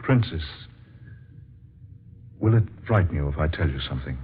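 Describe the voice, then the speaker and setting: Tinny noisy radio recording, awestruck earnest scientist, deep male voice, polite, fervent, radio drama. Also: lively intonation, moderate pace. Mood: careful, revealing. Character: earnest, awestruck scientist visiting a hidden world.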